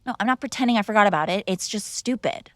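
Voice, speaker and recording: A woman's voice: medium pitch, lively intonation, fast talker, no room sound, studio quality, natural voice. A woman playing a mob boss's spoiled, bratty young adult daughter in an intimate roleplay, speaking casually with sarcastic, exasperated argument. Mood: defensive, bratty. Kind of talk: intimate ASMR roleplay acting, one-sided conversation.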